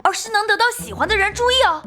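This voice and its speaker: An actress playing a cheeky, carefree teenage schoolgirl in an animated crime drama, chattering in a chirpy, gossipy way. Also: very high voice, rapid-fire, soft background music.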